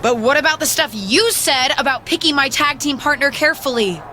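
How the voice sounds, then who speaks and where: A woman's voice: high voice, animated, brisk, pushed and bright, slight background noise. An actress playing a feisty, stubborn young woman wrestler in video game dialogue, indignant and sarcastic.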